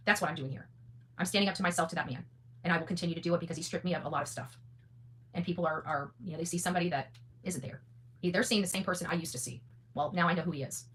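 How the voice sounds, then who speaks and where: A woman's voice: lowish voice, rapid-fire, fairly bright tone, slight background noise, rough recording. A woman hosting an unscripted, opinionated monologue, blunt and defiant, often repeating phrases for emphasis and swearing freely. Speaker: online content creator and host.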